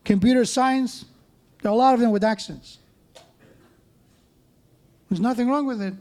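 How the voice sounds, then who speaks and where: A man's voice: extremely high pitch, moderate pace, darkish tone. A man preaching a sermon in a mosque, conversational, with joking asides and frequent restarts.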